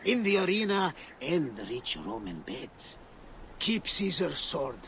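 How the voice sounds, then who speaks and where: An actor playing a proud, bitter runaway Thracian gladiator in a video game, blunt and defiant in manner. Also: male voice, a little high, very animated, unhurried, creaky, fairly bright tone, slight background noise, heavily acted. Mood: weary, grateful.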